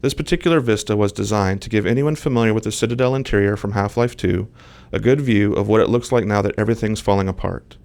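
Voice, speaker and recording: A man's voice: on the low side, fast, vocal fry, a little mellow. A man reading scripted developer commentary, describing the design of a game.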